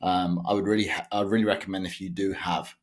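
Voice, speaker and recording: British man, very low voice, young adult, casual explainer talk, fillers and restarts. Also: little pitch movement, quick pace. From United Kingdom. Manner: loose and conversational, with frequent fillers and self-corrections. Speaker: sales automation consultant.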